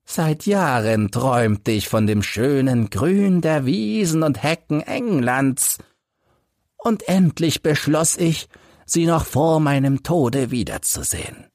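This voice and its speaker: A man's voice: on the high side, lively intonation, a little mellow, no echo, clean studio sound, voice pushed up. A man voicing an embittered, crippled old ex-soldier who solemnly recounts his suffering in an audiobook story. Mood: bitter, wistful. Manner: solemn, measured, literary delivery. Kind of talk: audiobook first-person storytelling.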